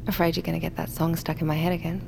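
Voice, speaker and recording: A demanding, wry woman in an audio thriller. Her voice is mid-pitched and teasing, set against clearly audible noisy ambience. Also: flat delivery, racing. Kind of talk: audio drama acting.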